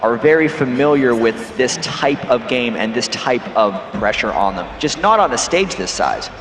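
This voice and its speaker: A man from North America giving measured, analytical esports color commentary on a headset at a packed convention hall. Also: medium pitch, animated, fairly bright tone, slight background noise. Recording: echoey arena with music behind.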